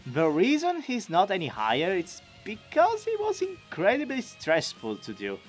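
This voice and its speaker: A man giving an enthusiastic, expressive, chatty scripted recap as a video creator. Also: sits high, moderate pace, soft background music. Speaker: video creator and amateur voice actor.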